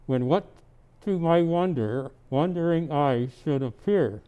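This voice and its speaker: Storybook Santa played by a man: gentle, grandfatherly, unhurried, clear resonant voice with a bright edge removed. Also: on the high side, expressive, low-quality recording. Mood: slow, wondering. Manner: slow, deliberate, kindly, grandfatherly. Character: jolly, gentle old Santa Claus. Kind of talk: storybook reading with chatty asides.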